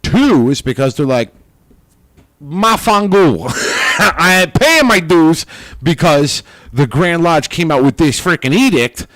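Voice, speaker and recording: A man's voice: on the high side, sing-song, slow, fairly bright tone, dry recording. A man co-hosting a casual podcast chat, talking bluntly and emphatically and swearing freely. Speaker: podcast co-host.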